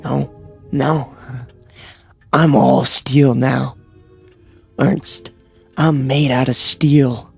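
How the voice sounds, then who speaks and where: A gravelly, fanatical young man. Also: steady tone, extremely slow, long pauses, heavily acted. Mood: cold. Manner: tense, clipped, turns shrill and frantic. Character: young man, cold, obsessed with steel and power. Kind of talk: 1950s television drama. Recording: vintage broadcast soundtrack with music.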